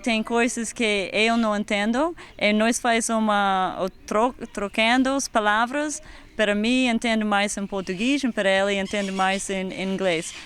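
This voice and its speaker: A man giving an interview answer, halting and searching for his words. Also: high voice, fairly even intonation, a bit bright, clear, resonant tone.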